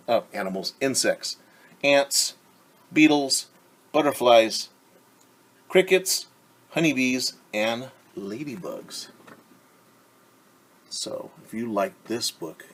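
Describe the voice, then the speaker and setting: Animated man reading to young children. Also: on the low side, low-quality recording. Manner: animated, explaining, asks children questions; playful sound effects. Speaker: teacher reading a picture book to children. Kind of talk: children's story time read-aloud.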